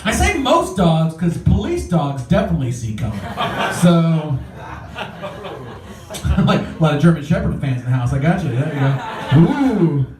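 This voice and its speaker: A male stand-up comic performing in a lounge, his voice high for a man and swinging widely in pitch as he acts out the people in his stories. He sounds roomy and a little distant from the mic, with a lively crowd laughing around him. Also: unhurried. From Mexican-American. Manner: animated, jumps into high voices for quoted people. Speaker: stand-up comedian. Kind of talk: stand-up comedy set. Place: comedy lounge, live audience.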